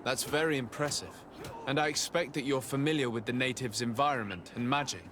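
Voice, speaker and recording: An actor playing a polite, inquisitive noble diplomat in a video game, with formal, probing speech. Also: male voice, medium pitch, fairly quick, lightly acted. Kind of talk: video game cutscene acting. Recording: outdoor ambient noise throughout.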